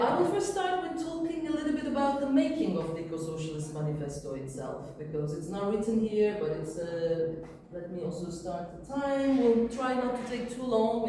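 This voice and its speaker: A woman's voice: medium pitch, distant mic. Woman talking in an echoey room. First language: Persian. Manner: relaxed, explains with many fillers. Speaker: workshop speaker. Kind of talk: informal workshop talk. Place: workshop room.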